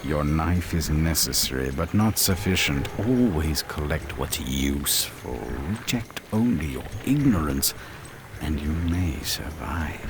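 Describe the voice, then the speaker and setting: A man voicing a sly, mangy cat in a video game, a cryptic guide who talks in measured, condescending aphorisms. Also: a bass voice, expressive, noisy background. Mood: cryptic, advising. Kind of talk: video game character acting.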